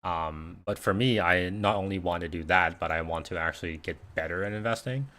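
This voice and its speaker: A man with a deep, low voice hosts a casual livestream, answering questions as he goes. His first language is Cantonese, and he rambles through loose, conversational sentences full of "you know" and "right?". He speaks into a desk mic. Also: a little fast, bright, forceful tone. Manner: rambling, conversational, many fillers and restarts. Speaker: investing livestream host. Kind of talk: casual live Q&A chat.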